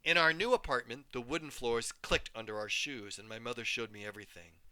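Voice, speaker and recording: A man, a teacher, reading a story aloud with expressive, animated storytelling. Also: mid-range voice, fairly quick, smooth, dry recording. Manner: animated, voices each character.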